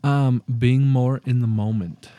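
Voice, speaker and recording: A man's voice: medium pitch, steady tone, a little slow, mellow, no echo. A young man co-hosting a podcast, chatting loosely about his new year goals, swearing freely and laughing often. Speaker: podcast co-host. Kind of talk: casual chat.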